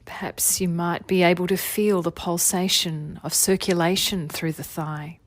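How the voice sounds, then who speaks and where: Low-voiced woman guiding a meditation, close and dry. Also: steady tone, dry recording. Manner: soothing, unhurried, gentle instruction with long phrases trailing softly. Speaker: meditation teacher. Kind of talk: guided meditation, body scan narration.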